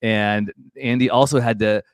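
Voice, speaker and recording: A man's voice: mid-range voice, fairly even intonation, normal speed, smooth, no echo, studio quality. A man hosting a casual live interview chat, enthusiastic and informal, often breaking off and restarting his own sentences.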